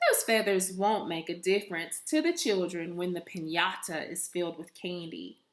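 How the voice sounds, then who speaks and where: A woman voicing a busy, forgetful, easygoing grandmother in a storybook, with emphatic, unhurried phrasing. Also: lowish voice, voice pushed down, close to an everyday voice. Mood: easygoing, reassuring. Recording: echoey room.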